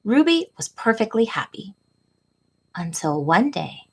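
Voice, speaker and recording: A woman in middle age with a mid-pitched voice hosts a children's read-aloud. She talks like a tutor addressing families and young listeners, with careful, expressive phrasing suited to storytelling. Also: unhurried, slightly rough.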